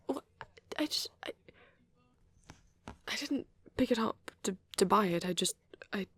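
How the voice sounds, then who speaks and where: A man playing a shy young male vampire in an ASMR roleplay, rambling hesitantly and apologetically in a soft, breathy voice that often drops to a whisper. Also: high voice, lively intonation, no echo, studio quality, exaggerated voice. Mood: flustered, earnest. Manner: hesitant, halting, rambling, self-correcting.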